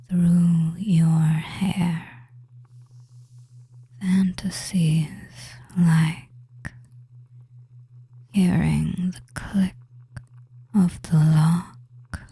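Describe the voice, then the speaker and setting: Very slow, breathy whispered hypnotist voice. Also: mid-range voice, warm tone. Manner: very slow, drawn-out short phrases with long pauses, coaxing and commanding. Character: seductive, commanding, soothing witch hypnotist. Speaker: audio roleplay performer. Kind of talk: whispered hypnosis audio roleplay, slow guided trance.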